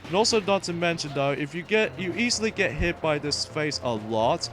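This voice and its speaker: A man giving casual, rambling, halting game commentary as a let's play host. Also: a little high, lively intonation. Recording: game music behind. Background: video game music.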